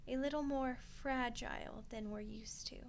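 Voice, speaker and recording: A high, ladylike voice over faint hiss, a woman acting a commanding vampire noblewoman in an audio drama. She speaks formally and graciously, with old-fashioned courtly authority. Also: steady tone, normal speed, natural voice. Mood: stern, composed. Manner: formal, stately, archaic phrasing. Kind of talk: scripted ASMR audio roleplay acting.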